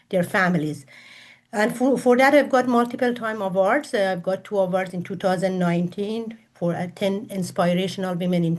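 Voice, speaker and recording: A middle-aged woman from Iran with an Iranian accent, speaking earnestly and formally as a panelist on a video call. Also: medium pitch. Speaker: panelist, women's empowerment coach. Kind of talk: prepared self-introduction at a virtual panel. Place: virtual summit video call.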